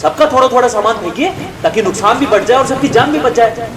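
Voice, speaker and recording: A man's voice: very high voice, quick pace, very noisy. A man playing an emotional merchant and devoted friend in an audio drama, speaking expressively. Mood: indignant. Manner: expressive, repeats words emphatically. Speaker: voice actor. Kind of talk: audio drama acting in Hindi.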